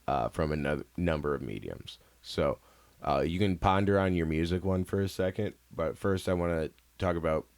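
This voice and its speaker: A man's voice: low voice, moderate pace, hardly any pauses, a little mellow, smooth. An American man hosting a podcast, asking questions and chiming in with informal, slangy interjections. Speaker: podcast host. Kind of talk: casual studio interview.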